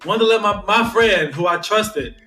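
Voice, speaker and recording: An American man with a slightly high voice hosts a casual podcast. He talks informally, with faint music underneath. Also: moderate pace, bright, forceful tone. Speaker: podcast host. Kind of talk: casual podcast hosting and chat.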